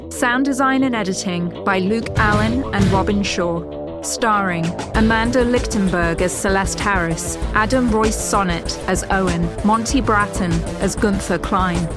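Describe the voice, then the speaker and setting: A woman reading the closing announcement and credits of an audio drama in a clear, announcing way. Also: medium pitch, a little slow. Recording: voice over loud music.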